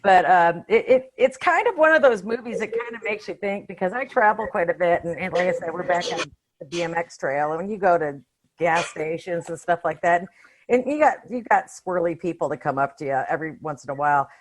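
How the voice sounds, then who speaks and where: A woman's voice: lowish voice, fairly quick. A woman in a casual discussion as a podcast panelist, speaking in an informal, opinionated, slangy way. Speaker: podcast panelist reviewing films.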